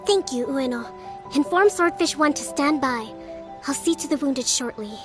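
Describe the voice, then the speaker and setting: An actress playing a composed young female island leader in a video game, speaking in measured, formal phrases. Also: sits high, music in the background, natural voice. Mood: commanding. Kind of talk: video game dialogue acting.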